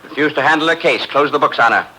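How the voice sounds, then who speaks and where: A man's voice: fairly high pitch, quick pace, pushed and bright, rough recording. An actor playing a rude, impatient, wealthy man in a vintage radio drama, curt and abrupt. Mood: brusque. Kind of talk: 1940s radio acting.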